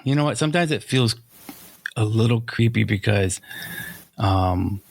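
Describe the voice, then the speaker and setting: A man's voice: lowish voice, lively intonation, a little slow, creaky, no echo. A man chatting casually and bluntly as a podcast co-host. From Rome, New York, United States. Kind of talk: casual conversational chat.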